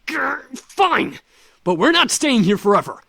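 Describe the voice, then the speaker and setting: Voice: sits high, sing-song, measured pace, creaky, a bit bright, no echo, full character voice. An actor voicing a brash, impatient young male incubus with a blunt, growly manner in a game. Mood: grudging.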